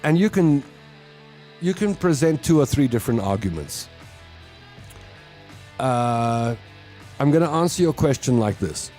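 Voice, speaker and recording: Mellow South African man in his fifties. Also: medium pitch, very animated, unhurried, pauses often, soft background music. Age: 50s. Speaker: live show host. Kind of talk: casual live monologue to listeners.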